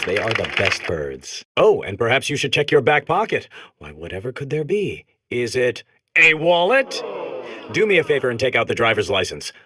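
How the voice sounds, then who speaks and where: A man's voice: mid-range voice, close to an everyday voice. A man voicing an eager, awkward robot stage magician in a comic game, with theatrical patter that trails into asides. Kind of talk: comic video game character acting.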